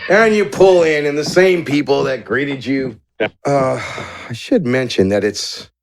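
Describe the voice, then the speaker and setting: A man's voice: medium pitch, unhurried. A man narrating a tabletop game as game master, improvising descriptions in an informal way.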